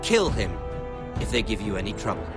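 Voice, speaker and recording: A ruthless, lively-pitched lord. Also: male voice, fairly quick, music in the background. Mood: coldly threatening. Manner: commanding. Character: cold, ruthless lord issuing threats. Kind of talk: dramatic game voice acting.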